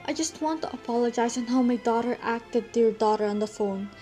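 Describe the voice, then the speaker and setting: A woman's voice: fairly high pitch, brisk, dark tone, faint music behind, natural voice. A young woman playing a polite, stubbornly apologetic society mother in an amateur stage play, speaking formally and insistently.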